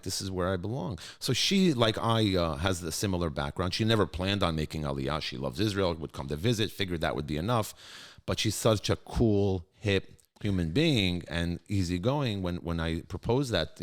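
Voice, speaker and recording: A man from New York, a guest in a conversational interview, talking with animated swings of pitch and frequent laughs. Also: fairly quick. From United States (New York). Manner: animated, pitch swings wide when passionate, laughs often. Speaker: guest, school co-CEO.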